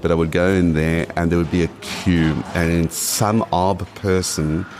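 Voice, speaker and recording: A man's voice: low-pitched, flat delivery, unhurried, a little mellow, slight background noise. A man in his late forties from South Africa with a South African accent, rambling and restarting as he chats in an interview. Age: 40s. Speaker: guest. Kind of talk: casual interview.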